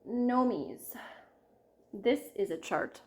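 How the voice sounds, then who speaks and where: A woman in her thirties chatting casually about her cross-stitch projects, her voice a little croaky from a cold, rambling and apologizing often. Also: mid-range voice, expressive, slow pace, darkish tone. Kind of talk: casual chat to camera.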